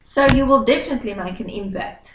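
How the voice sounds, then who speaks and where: A woman's voice: a little high, quick pace, far from the mic. A woman co-presenting, talking casually and hesitantly with fillers. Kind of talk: casual promotional talk. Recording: echoey room, off-mic, faint noise.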